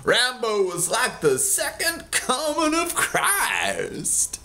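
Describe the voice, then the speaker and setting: A man in his forties from Kansas reads his memoir aloud into a close webcam in a small room. His voice sits high and leaps around freely, and he takes his time. He delivers the lines with sardonic, theatrical bite, breaking into loud outbursts for emphasis. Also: huge pitch swings, very slow, slight background noise. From Kansas, USA. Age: 40s. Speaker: author reading his own book. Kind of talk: dramatic memoir reading. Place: small indoor room at home.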